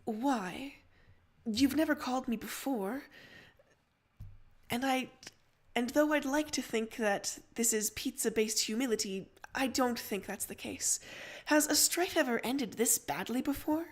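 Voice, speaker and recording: A sardonic young teenage girl, acted out by a woman in an audio fan fiction, with a higher-pitched voice. She is insistent and teasing, with concern under the dry wit. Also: measured pace. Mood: concerned, probing.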